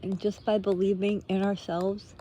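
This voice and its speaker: A woman giving an unscripted, reflective monologue while out walking in nature, thinking aloud in halting, drawn-out phrases. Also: mid-range voice, level tone, warm tone, a little hiss or hum. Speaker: host of a personal walking monologue. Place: outdoors on a walk in nature.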